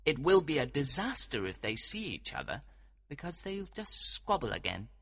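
A man reading a storybook, voicing a fun-loving male sprite servant with a raised, lively voice. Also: normal speed, gravelly, husky, exaggerated voice. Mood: worried. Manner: lively, anxious, higher than his own voice.

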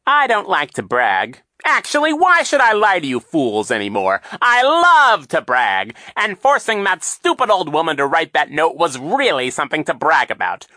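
A shrill, gloating villain count, swinging wildly up and down in pitch. The voice is bright and sharp, recorded close and dry with no room around it. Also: male voice, huge pitch swings, normal speed, hardly any pauses, dry recording, heavily acted. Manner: theatrical, whiny false joy then gloating growl. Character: scheming villainous male count, boastful.

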